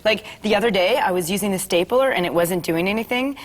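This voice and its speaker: An earnest, guileless sitcom policewoman, acted by a woman at a table read. She speaks haltingly in a mid-pitched voice. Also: close to an everyday voice, low-quality recording. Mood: earnest, puzzled. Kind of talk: sitcom script read-through.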